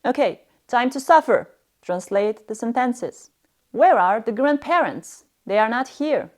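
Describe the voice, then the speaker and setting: A woman's voice: fairly high pitch, animated, measured pace. A woman narrating a scripted language lesson as a stern, harsh and demanding teacher.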